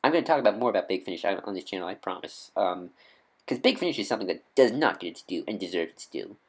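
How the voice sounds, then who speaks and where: A man with a nasal voice giving casual, off-the-cuff fan commentary as a vlogger, rambling and halting as he corrects himself. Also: deep voice, animated, breathy edge. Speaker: YouTube vlogger and podcaster.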